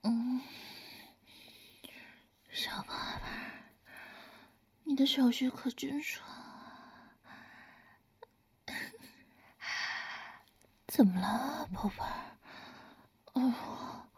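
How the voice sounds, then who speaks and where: A woman voicing a teasing, doting older lover in a whispered audio roleplay, speaking in a soft, coaxing, breathy whisper. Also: high-pitched, lively intonation, very slow, long pauses, heavily acted. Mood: tender, coaxing.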